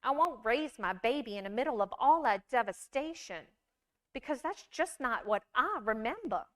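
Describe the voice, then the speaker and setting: A woman giving a scripted storytelling performance, with deliberate, measured phrasing and dramatic pauses. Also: a little high, expressive, a bit bright. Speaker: monologue performer.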